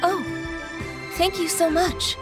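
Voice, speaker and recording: A woman playing an earnest, concerned young townswoman in a video game, speaking in a measured, polite way. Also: very high-pitched, fairly even intonation, over loud music. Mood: grateful.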